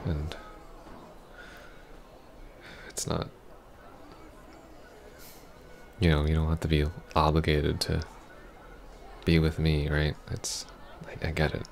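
A man playing a heartbroken, apologetic ex-boyfriend in an intimate ASMR roleplay, soft-spoken, halting and stammering. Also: rumbling low, completely flat delivery, vocal fry, slight background noise. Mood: tentative, sad.

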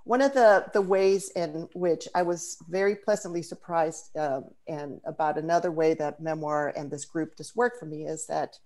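A woman's voice: sits low, fairly even intonation, moderate pace, hardly any pauses, darkish tone. A woman from the Dominican Republic, a native Spanish speaker, hosting a video call event in a thoughtful way with frequent fillers and restarts. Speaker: host.

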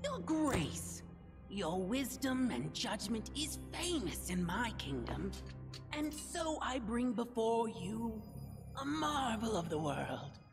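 A woman playing a mysterious, flattering bearer of a magic crown in an animated fairy tale. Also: on the high side, slow pace, music in the background, full character voice. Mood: sly, enticing. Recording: long hall reverb.

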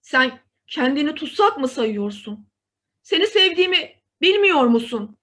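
Woman playing a lovelorn storybook beast, high-voiced. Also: very animated, normal speed, a bit bright. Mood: agitated. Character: lovelorn, sad, ugly male fairy-tale beast.

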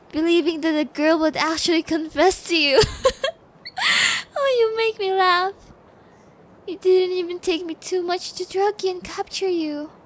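A woman acting a sadistic young female captor in an ASMR audio roleplay, taunting and gloating with drawn-out, cruel menace. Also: very high voice, slight background noise. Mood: scornful amusement.

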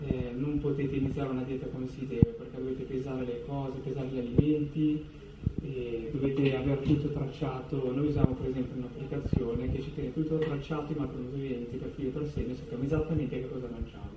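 A man's voice: mid-range voice, level tone, a little fast, muffled recording. A young man, a fitness vlogger, chatting casually to camera in a small home kitchen and giving food-prep tips with a joking tone. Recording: echoey kitchen, distant mic, noisy.

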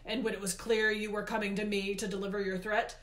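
A woman voicing a furious, imperious male god of the underworld for a storybook, with booming, emphatic, drawn-out words. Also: level tone, lightly acted. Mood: bitter anger. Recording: roomy, echoey indoor sound.

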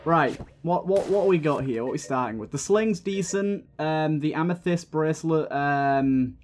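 Higher-pitched man doing casual gameplay commentary. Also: measured pace. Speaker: gaming video host.

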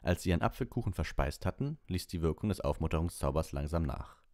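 A man with a very low voice narrates an audiobook. His delivery swings widely in pitch, and the sound is dry and close. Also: animated, fast, darkish tone, dry recording. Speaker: amateur audiobook reader. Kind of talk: scripted story narration.

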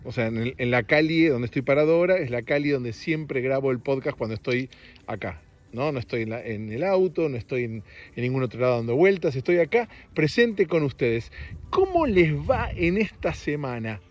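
A middle-aged man with an Argentine accent and a slightly raspy voice, hosting a casual, rambling podcast in a joking, self-deprecating way. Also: mid-range voice, animated, normal speed, soft background music. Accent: Argentine Spanish. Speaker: podcast host. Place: outdoors on a street.

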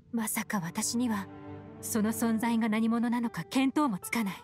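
A woman's voice: fairly high pitch, close to an everyday voice. Woman voicing a poised, sly secret agent. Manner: poised, feminine, teasing. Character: cool, sly, mysterious woman agent, teasing and self-assured. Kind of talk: anime game voice acting. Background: music.

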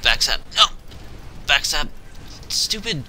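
A man's voice: fairly high pitch, big pitch swings, takes it slowly, bright, forceful tone, a little hiss or hum. A man giving casual, reactive live commentary while playing a shooter game, in short exclamations.

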